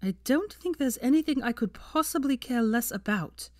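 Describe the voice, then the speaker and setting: Woman acting indignant sharp-tongued young witch. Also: high voice, lively intonation, moderate pace, dry recording, pitched above the performer's own voice. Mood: scornful. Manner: snapping, sneering, terse.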